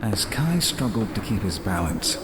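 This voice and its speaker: A man's voice: on the low side, big pitch swings, moderate pace. A man playing a solemn narrator, reading scripted dramatic narration for an audio play. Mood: ominous. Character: solemn male storybook narrator. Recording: very noisy recording.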